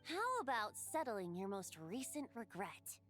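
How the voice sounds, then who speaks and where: An actress playing a breezy, mischievous bard in a video game, with a light, teasing delivery. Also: female voice, fairly high pitch, sing-song, unhurried, fairly bright tone, faint music behind. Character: breezy, mischievous bard, secretly a wind god. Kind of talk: scripted game dialogue.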